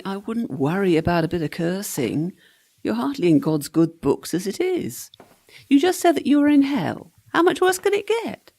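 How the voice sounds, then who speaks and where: A woman's voice: mid-range voice, dark tone. A woman playing the ghost of a flippant young servant in a comic radio play, sarcastic, teasing and breezy. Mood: flippant, needling.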